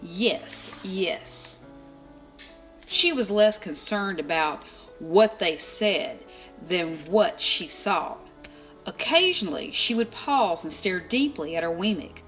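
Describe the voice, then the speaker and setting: Female storybook reader, unhurried and expressive, medium pitch, dramatic pauses, faint music underneath. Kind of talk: expressive children's read-aloud narration.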